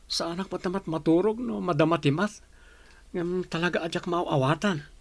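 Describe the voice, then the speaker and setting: Radio-play schoolboy, earnest and a bit helpless, fry in a lightish voice. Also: male voice, high voice, normal speed. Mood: pleading, earnest. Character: young male student, struggling and pleading for help. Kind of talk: audio drama acting.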